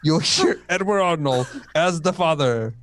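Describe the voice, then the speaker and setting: A high-voiced, grandly theatrical radio theater host, acted out by a man. The delivery swoops up and down in old-time announcer style, with halting stumbles along the way. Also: animated, a bit bright, dry recording. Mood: showy, grand. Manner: halting, breaks into stumbles and giggles. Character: grand male radio theater host with put-on foreign accent. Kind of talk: 1940s radio announcing, read by an amateur.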